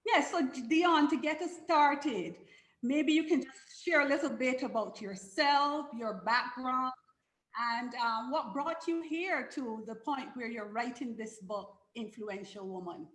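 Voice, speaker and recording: A woman hosting a live online conversation, speaking slowly and deliberately. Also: high-pitched, animated, a bit bright. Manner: slow and deliberate, prayerful. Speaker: live show host. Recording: echoey room.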